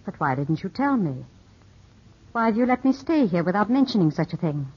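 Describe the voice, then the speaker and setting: An actress in a 1950s radio drama playing a poised, enigmatic middle-aged widow, speaking in a measured, intimate, restrained way. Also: female voice, medium pitch, very animated, breathy edge. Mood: shaken, probing. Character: poised, enigmatic widow of about thirty-five, wounded and gracious. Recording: dull, muffled old radio recording.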